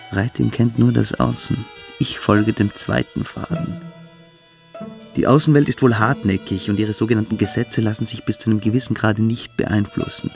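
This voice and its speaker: A man acting in a German radio play as a cruel, mystical teenage boarding-school boy, lecturing and brooding, sometimes hushed. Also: fairly low pitch, gravelly.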